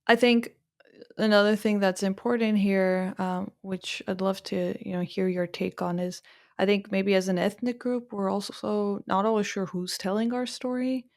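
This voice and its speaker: A woman's voice: medium pitch, fairly even intonation, moderate pace, a little mellow. A woman hosting a podcast, asking long, reflective questions full of fillers. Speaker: podcast host. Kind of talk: interview questions.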